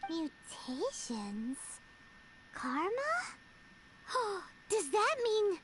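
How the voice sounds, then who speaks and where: A woman voicing a small, excitable, childlike fairy companion in a video game, chatty and exclamatory. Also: drawn-out words, very noisy, exaggerated voice. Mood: puzzled. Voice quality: childlike, squeaky. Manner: exclamatory, refers to self in third person. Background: loud game music.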